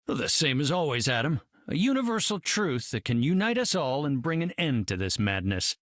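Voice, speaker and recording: Earnest scientist hero in a game, mid voice, dry sound. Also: male voice, mid-range voice, very animated, normal speed, a bit bright, no echo.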